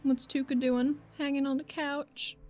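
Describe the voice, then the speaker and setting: A woman's voice: high voice, level tone, measured pace, darkish tone, faint music behind. A woman chatting casually as a player in a tabletop game.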